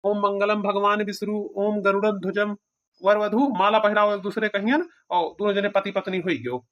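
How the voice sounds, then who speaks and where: A woman's voice: mid-range voice, fairly even intonation, normal speed, muffled recording, natural voice. A woman voicing a meek, pragmatic village priest with an Awadhi accent in a cartoon comedy. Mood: chanting. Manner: pleading, advising.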